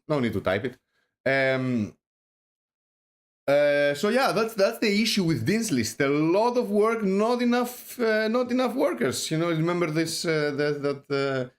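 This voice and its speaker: A man with a Greek accent hosting a casual crypto livestream, rambling with many fillers and restarts, joking now and then. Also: a little high. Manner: joking, self-deprecating. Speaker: host.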